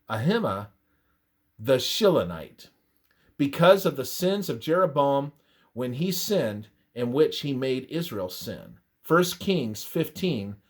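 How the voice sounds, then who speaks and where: Guy reading a bible study script, slow and deliberate, pitch moves up and down a lot. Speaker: reader of a religious devotional. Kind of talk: scripted narration of a Bible study, read aloud.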